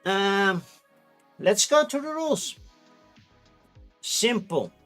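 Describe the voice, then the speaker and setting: A man's voice: sits high, lively intonation, extremely slow, fairly bright tone, faint music behind. A man with a Dutch accent who speaks English as a second language, chatting casually on a livestream, rambling with fillers and restarts. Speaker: streamer.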